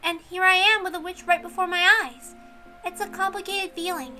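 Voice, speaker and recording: A woman acts out a bookish young mystery enthusiast from a visual novel. The voice sits very high and rings bright, earnest and thoughtful as it muses. There is music in the background. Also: steady tone, a little fast. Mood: curious, musing. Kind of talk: dramatic reading of a visual novel.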